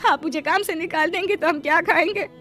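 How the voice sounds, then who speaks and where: Cartoon poor maid wife, anxious and dutiful, high pleading voice, voiced by a woman. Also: brisk, fairly bright tone, faint music behind. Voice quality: pleading, fretful. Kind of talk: Hindi cartoon voice acting.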